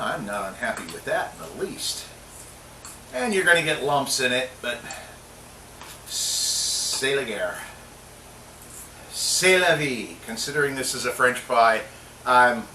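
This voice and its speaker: A man's voice: medium pitch, lively intonation, measured pace, long pauses, sharp tone. Jokey middle-aged man cooking in a roomy kitchen. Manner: jokey, chatty, talks to himself while cooking. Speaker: cooking show host. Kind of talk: casual cooking demonstration. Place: home kitchen. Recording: roomy kitchen sound from a distant mic, clear background noise.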